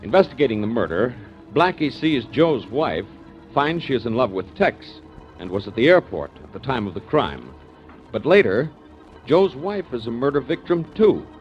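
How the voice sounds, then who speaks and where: A male announcer of an old radio drama, formal and measured, recapping the plot. His pitch moves in a lively way. A faint organ plays behind him on the muffled, dated recording. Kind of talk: scripted narration.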